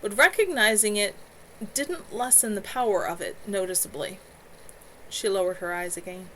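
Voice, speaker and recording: A woman author reads her own novel aloud in a steady, measured voice. Her pitch sits in the middle range. The delivery is even narration. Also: a little hiss or hum, rough recording. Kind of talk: live novel reading.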